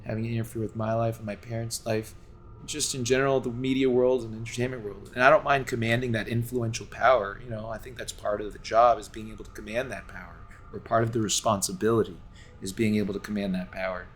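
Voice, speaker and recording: A man's voice: lowish voice, little pitch movement, fast talker, slight background noise. A game streamer from Los Angeles talks to his viewers in a long, earnest, rambling monologue full of restarts and fillers, speaking from home.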